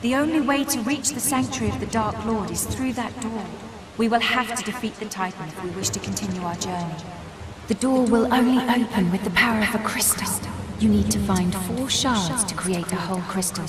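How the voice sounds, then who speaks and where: A woman playing a gentle, brave young survivor of a magical people in a fantasy game, speaking solemnly and earnestly. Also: mid-range voice, brisk, over music, close to an everyday voice. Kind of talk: dramatic fantasy game dialogue and storytelling.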